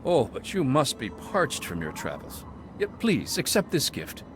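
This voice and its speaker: Zealous game monk, soft-spoken, pious, sermonizing, mid-range voice. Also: male voice, mid-range voice, huge pitch swings, measured pace, noisy background. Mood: serene. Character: serene zealous male cult monk. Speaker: game character. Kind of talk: video game dialogue.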